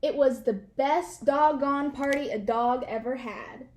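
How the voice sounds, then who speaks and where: Female children's read-aloud narrator: high pitch, expressive storytelling, deliberate emphasis.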